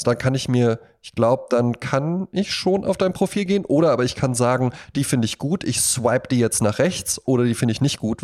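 A man's voice: medium pitch, a little mellow. A man chatting casually as a podcast co-host, joking and acting out little quotes as he explains things. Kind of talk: casual conversational chat.

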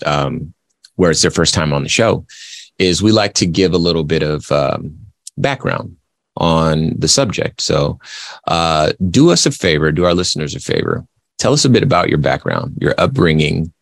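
Male interview host, very low gravelly voice, dry close sound, effusive and rambling. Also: no room sound. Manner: effusive, loose phrasing with fillers and repeats. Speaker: podcast host. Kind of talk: conversational interview.

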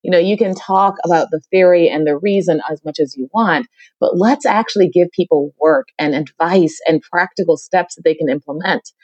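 A woman's voice: lowish voice, moderate pace, a little mellow, slightly rough, no room sound, dull audio. A middle-aged woman from the Caribbean, talking energetically as a podcast interview guest and often repeating her phrases. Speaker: guest, diversity consultant.

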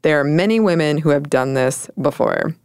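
A woman's voice: sits low, animated, moderate pace, vocal fry, darkish tone, clear, resonant tone, no room sound, studio quality. A woman co-hosting a casual podcast, chatty and thoughtful, laughing often. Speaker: podcast co-host.

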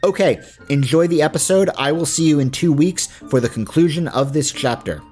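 A man's voice: a little high, moderate pace. A man hosting a podcast, reading sponsor messages in a conversational way with informal asides. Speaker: podcast host. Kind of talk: casual sponsor read. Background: faint music.

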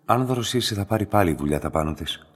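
An enigmatic middle-aged man speaks in a very deep, husky voice. He is calm, measured and softly insistent, as in a radio play, and his words hang in a long reverberant space. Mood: calm, assured. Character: enigmatic, calm, quietly insistent man who runs a specimen laboratory. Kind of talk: radio drama dialogue.

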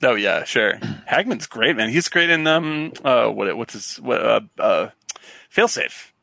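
A man's voice: mid-range voice, animated, a little slow, gravelly, pushed and bright, no echo. A man co-hosting a casual talk show, chatting in a jokey way with an easy laugh. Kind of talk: casual chat about old films and TV.

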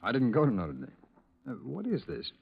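A man playing an affable but uneasy, evasive man under questioning, halting and conversational, in 1950s radio drama style. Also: mid-range voice, wildly swooping pitch, creaky, slightly rough, full character voice. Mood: evasive, surprised. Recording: muffled old radio broadcast.

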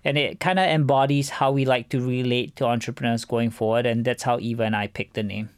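A man giving measured, explanatory answers as an interview guest, speaking as a venture fund co-founder. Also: mid-range voice, fairly even intonation, clear, resonant tone, dry recording, clean studio sound.

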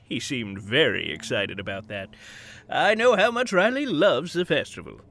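A man's voice: medium pitch, very animated, moderate pace, creaky, fairly bright tone, slight background noise. A man playing a genial, evasive older small-town mayor in an audio drama, folksy and avuncular, hemming and hedging as he talks. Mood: jovial.

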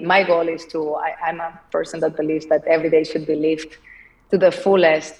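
Low, flat-voiced woman with a Spanish accent. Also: recorded from a distance, low-quality recording. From Spain, Barcelona. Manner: thoughtful, many fillers, slow at times. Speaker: company founder, guest. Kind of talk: podcast interview, conversational.

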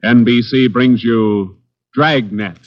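A man announcing a 1940s radio drama in a measured, solemn way. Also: mid-range voice. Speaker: network announcer. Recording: dull 1940s radio broadcast with music.

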